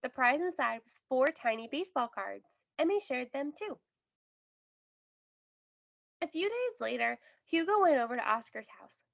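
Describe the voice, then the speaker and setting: A woman narrating a children's storybook aloud with careful, expressive storytelling. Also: high-pitched, measured pace, creaky, pauses often, no room sound, dull audio. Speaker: storybook reader.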